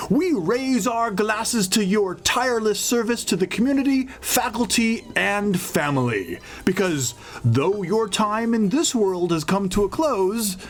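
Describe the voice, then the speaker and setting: A man's voice: high-pitched, heavily acted. A man playing a jovial tavern toastmaker in an audio drama, declaiming with mock solemnity.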